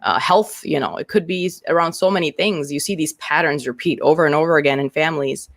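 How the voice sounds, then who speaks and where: A woman's voice: low voice, fast, no room sound. Frank, candid woman guest in dry sound. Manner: frank and candid, swears freely, many fillers and restarts. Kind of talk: casual interview chat.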